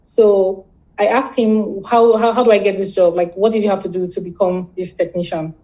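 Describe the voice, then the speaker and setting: A Nigerian woman guest chatting casually in an interview, halting and repeating words, often laughing. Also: mid-range voice, level tone, warm tone, dull audio.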